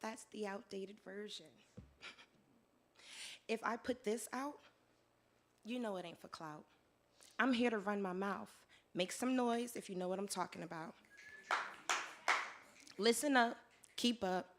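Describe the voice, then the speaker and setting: A hoarse woman performing her spoken word poetry live, in a rhythmic rhyming delivery that swings between soft lines and loud declarations. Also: medium pitch, a little slow, long pauses. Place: live venue with audience.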